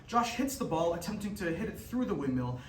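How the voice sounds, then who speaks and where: A man's voice: high voice, very animated, brisk. A man reading scripted stage directions as narrator at a staged reading. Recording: echoey room, far mic, faint noise.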